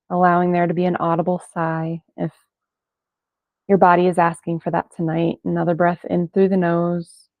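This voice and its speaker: A woman leading a guided meditation in gentle, unhurried phrases with long pauses between them. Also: fairly low pitch, completely flat delivery, no echo. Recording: band-limited, phone-like sound, dry.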